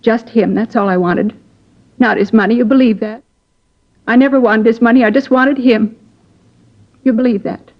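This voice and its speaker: A woman playing a defiant, sharp-tongued woman who killed the man she loved, in a 1950s radio drama. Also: a little high, steady tone, moderate pace, pauses often, natural voice. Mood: confessing. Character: bitter middle-aged woman, killer of jilted love. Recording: dull old radio broadcast.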